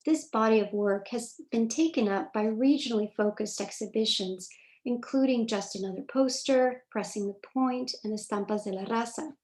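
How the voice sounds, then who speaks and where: A woman moderating a virtual talk, reading a scripted introduction in measured, formal phrasing over a video call. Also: a little high, darkish tone.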